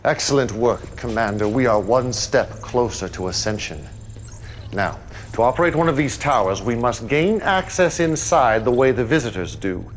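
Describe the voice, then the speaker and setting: An actor playing a commanding, calmly menacing cult leader in a video game cutscene, speaking with solemn, grandiose deliberation. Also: male voice, mid-range voice, moderate pace, slight background noise, natural voice. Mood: grave, urgent.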